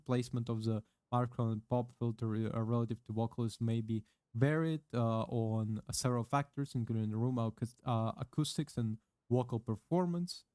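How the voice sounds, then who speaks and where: Halting man reading a manual aloud. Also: mid-range voice, a little mellow, clear, resonant tone, no room sound, clean studio sound. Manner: halting reading full of "uh" fillers and restarts, offhand swearing. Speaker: streamer. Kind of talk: reading a product manual aloud, casual chat. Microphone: condenser microphone with pop filter, close.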